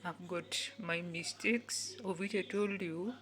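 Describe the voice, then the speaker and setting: A woman, giving an earnest motivational talk in which she repeats each phrase several times and sometimes drops to a soft whisper. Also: lowish voice, steady tone, slow. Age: 20s. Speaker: youth speaker.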